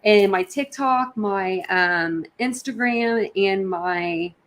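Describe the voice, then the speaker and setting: A woman's voice: mid-range voice, slow. Chatty, rambling woman livestreamer. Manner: rambling, chatty, informal slang. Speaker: livestream host. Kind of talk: casual livestream chat with viewers. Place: indoors in an apartment.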